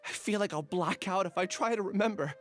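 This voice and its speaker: A man's voice: high-pitched, a little fast, fairly bright tone. A man voicing a troubled, polite young scholar in an anime game, speaking hesitantly. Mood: uneasy, grateful. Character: troubled young male scholar hiding his amnesia.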